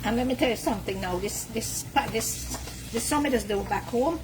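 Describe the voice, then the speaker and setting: A woman's voice: a little high, brisk, noisy background. An older woman, telling stories and giving cooking tips in a home kitchen. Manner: storytelling, instructive.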